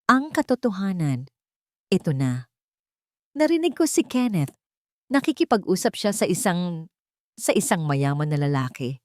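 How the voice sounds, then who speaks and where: A woman's voice: on the low side, sing-song, measured pace, long pauses, a little mellow, no echo, clean studio sound. A woman voicing a grieving, frightened old man confessing what he witnessed in an audio drama story. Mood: anguished.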